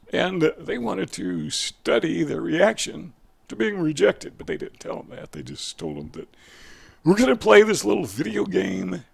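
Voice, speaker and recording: An older man with a raspy voice, explaining casually to camera on a headset mic, folksy and digressive, often correcting himself. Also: high-pitched. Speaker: video educator.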